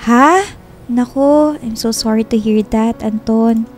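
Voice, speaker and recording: A woman playing a friendly, easily delighted young Filipino woman in a radio love drama, expressive and chatty. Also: on the high side, slow, soft background music. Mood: sympathetic.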